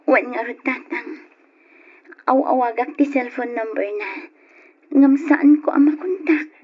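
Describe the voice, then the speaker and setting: A woman's voice: high-pitched, takes it slowly, pauses often, husky, exaggerated voice. A woman acting an affectionate, worried young wife in an old Ilocano radio drama. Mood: worried, subdued. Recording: dull, noisy old radio recording.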